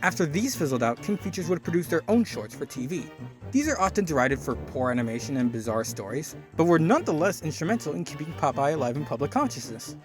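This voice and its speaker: A man's voice: a little high, big pitch swings, fast talker, music in the background. A man hosting a video essay, narrating from a script with wry, conversational asides.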